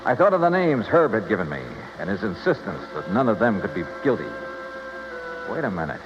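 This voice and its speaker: A man's voice: fairly low pitch, big pitch swings, brisk, over music. An actor playing a tough, dogged male investigator with clipped, slangy talk in a 1950s radio detective drama. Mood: brooding narration. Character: tough, dogged male insurance investigator narrating his case. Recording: muffled, noisy old radio recording.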